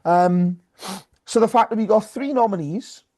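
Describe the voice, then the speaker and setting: A man's voice: sits high, unhurried, darkish tone. A man co-hosting a sports podcast, chatting casually about rugby.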